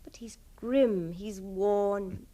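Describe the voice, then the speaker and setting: A devoted, compassionate gentlewoman in a literary radio drama. She speaks a little way off the microphone in a mid-pitched voice, earnest and theatrical. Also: drawn-out words, distant mic. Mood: impassioned, pitying. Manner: hushed to impassioned, theatrical delivery.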